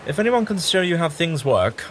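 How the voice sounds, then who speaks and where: A man's voice: fairly high pitch, sing-song, normal speed. A man playing a kindly, bookish, eccentric time traveller with an amiable, slightly absent-minded manner in an audio drama. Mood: teasing. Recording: audible background noise.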